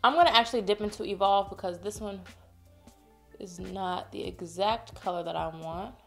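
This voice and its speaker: A woman's voice: medium pitch, moderate pace, gravelly, soft background music. A woman, chatting playfully through a makeup tutorial, sounding a bit congested. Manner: chatty, playful, addresses viewers as y'all. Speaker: beauty vlogger. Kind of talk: casual makeup tutorial chat. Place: home room, indoors.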